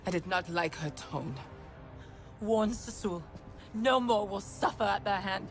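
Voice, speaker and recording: An actress playing a stern, proud alien clan warrior in a video game, speaking in terse, grave statements. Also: female voice, medium pitch, unhurried, soft background music, close to an everyday voice. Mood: defiant. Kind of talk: video game cutscene acting.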